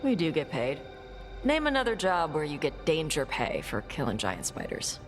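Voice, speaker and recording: A woman voicing a blunt young dragon hunter who talks in short, gruff, dismissive lines in a game cutscene. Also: sits low, huge pitch swings, moderate pace, faint music behind. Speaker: treasure hunter. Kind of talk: video game cutscene dialogue.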